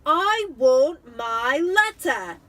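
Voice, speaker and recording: A woman's voice: high voice, very animated, drawn-out words, pushed and bright, far from the mic, pitched above the performer's own voice, exaggerated voice. A woman voicing an indignant, insistent young boy in a storybook reading. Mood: furious. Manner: furious, insistent.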